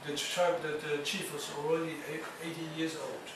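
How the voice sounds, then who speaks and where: A man giving a university lecture, speaking haltingly with many fillers and restarts. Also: fairly high pitch, level tone. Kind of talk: classroom lecture. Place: lecture hall. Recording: echoey lecture hall, distant mic, noisy.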